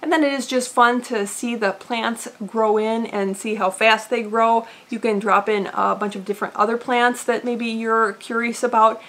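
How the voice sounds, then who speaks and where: A woman's voice: on the high side, fairly even intonation, moderate pace, few pauses, a bit bright. A woman in her thirties hosting an aquarium vlog, talking casually with frequent "uh" fillers, seated in an indoor room full of tanks. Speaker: aquarium channel host. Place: indoor fishroom with tank racks.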